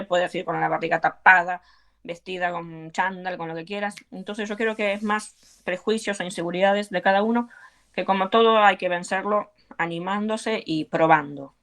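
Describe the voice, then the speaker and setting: A woman's voice: mid-range voice, moderate pace, a bit bright. An Argentine woman, a belly dance teacher, talking earnestly and encouragingly in an interview, with frequent fillers. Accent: Argentine Spanish. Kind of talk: conversational interview.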